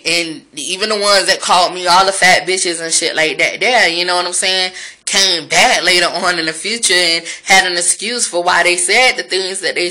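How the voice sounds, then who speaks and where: A woman's voice: lowish voice, pushed and bright. A woman, talking frankly and profanely to her viewers in a reflective vlog monologue. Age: 30s.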